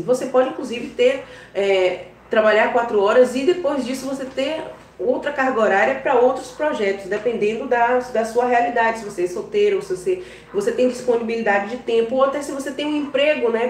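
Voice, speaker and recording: A woman with a Bahian accent talks straight to her viewers in a chatty, reassuring way and keeps checking in with them as she goes. Her voice sits fairly high. It comes from a step back off the mic and bounces around an echoey room. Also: darkish tone, recorded from a distance. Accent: Bahian Portuguese. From Brazil. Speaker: online business vlogger. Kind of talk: casual advice talk to viewers.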